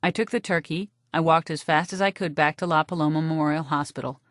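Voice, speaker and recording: A woman narrating a first-person novel for an audiobook with a wry, deadpan delivery. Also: sits low, quick pace, no echo, clean studio sound.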